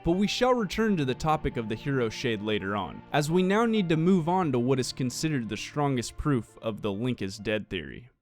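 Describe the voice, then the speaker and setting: A man's voice: mid-range voice, big pitch swings, fairly quick, hardly any pauses. A man narrating a scripted, analytical video game theory explainer. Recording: music playing behind the voice. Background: background music.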